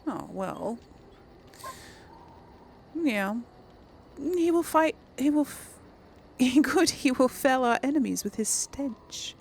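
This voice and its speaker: A woman's voice: high voice, lively intonation, slow pace, vocal fry, pauses often, slight background noise. A woman giving casual, chatty let's play commentary, thinking aloud as she hosts a role-play gaming series.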